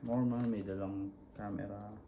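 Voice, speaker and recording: Slow-talking Filipino man, noisy recording. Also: on the low side, steady tone, dark tone. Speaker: vlogger demonstrating a product installation. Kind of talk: casual hands-on tutorial, talking while working.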